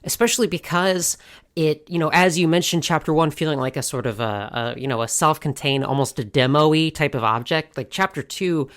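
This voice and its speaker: A podcast co-host with a medium-pitched voice takes part in a casual fan discussion. The delivery is conversational and unscripted. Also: a little fast, a bit bright.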